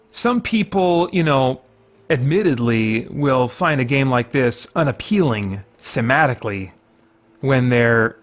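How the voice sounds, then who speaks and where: A man's voice: medium pitch, a bit bright. A man hosting a casual let's play, thinking aloud and rambling as he goes. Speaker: gaming video host.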